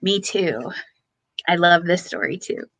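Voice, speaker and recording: A woman storyteller reading a children's picture book at bedtime, expressively, with dramatic pauses and emphasis. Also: fairly low pitch, animated, fairly bright tone.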